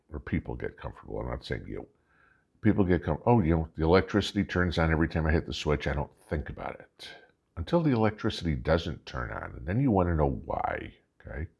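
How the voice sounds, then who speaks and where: An American man hosting a vlog with casual political commentary, talking in a folksy, emphatic, halting way and posing rhetorical questions. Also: a bass voice, gravelly, darkish tone, husky, highs cut off. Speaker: host of a preparedness vlog.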